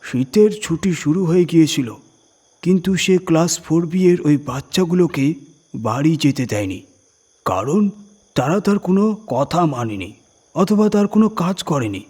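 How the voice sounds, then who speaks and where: A man voicing a guilt-ridden male head clerk, halting and apologetic, confessing a dark secret in a horror story. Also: on the high side, expressive, pitched above the performer's own voice. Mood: grave, confessing. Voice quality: husky, trembling voice.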